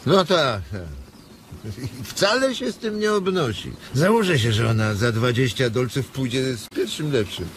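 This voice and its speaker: Man acting a bossy, wary migrant worker, lively intonation, noisy ambience, radio drama. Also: medium pitch, moderate pace, lightly acted. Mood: scornful. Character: sharp, irritable, protective male migrant worker. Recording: noisy, birdsong ambience behind.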